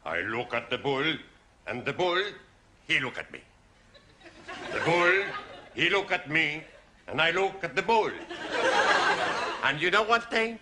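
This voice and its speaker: Boastful Spanish student clowning in halting English; lively, unhurried delivery over a hissy sitcom track. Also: male voice, a little high, exaggerated voice. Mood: cheeky. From Spain. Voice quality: broken English, laughs at own jokes. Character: boastful joking Spanish man, cheerful show-off. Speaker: adult language student. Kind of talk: 1970s studio sitcom acting. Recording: studio audience laughter, hiss.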